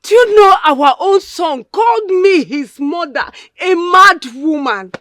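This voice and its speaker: A woman's voice: sits high, animated, slow, dry recording, clean studio sound, full character voice. A woman playing a grieving, tearful mother. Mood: distressed. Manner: lamenting, tearful pleading. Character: grieving mother of a mad son.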